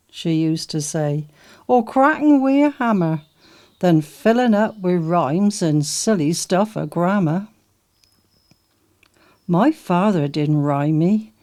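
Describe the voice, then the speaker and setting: Mellow, unhurried woman with a Dorset accent. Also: on the low side. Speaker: poetry reader, literary society member. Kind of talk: dialect poetry recitation.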